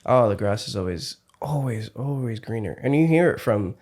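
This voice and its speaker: A man's voice: medium pitch, animated, normal speed, darkish tone. A man with a mid-range voice hosts a podcast in casual conversation. He muses in an easygoing, thoughtful way and often drops in murmurs of agreement. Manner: reflective, easygoing, frequent backchannel murmurs. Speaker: podcast host.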